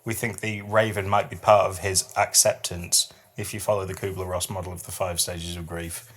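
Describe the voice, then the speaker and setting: Low-voiced man, podcast co-host. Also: little pitch movement, moderate pace, a bit bright. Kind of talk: casual chat with dramatic poetry reading.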